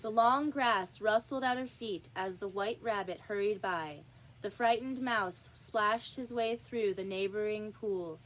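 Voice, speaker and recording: A woman reading a children's story aloud in an expressive narrating style. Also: medium pitch, measured pace, poor audio. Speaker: storybook reader.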